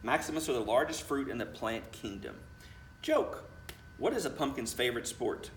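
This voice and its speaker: Man, principal reading picture book, animated intonation, chatty asides, roomy distant sound. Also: mid-range voice, moderate pace. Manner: expressive, with chatty asides to children. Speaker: school principal. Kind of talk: children's picture book read aloud. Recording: roomy, distant mic, faint noise.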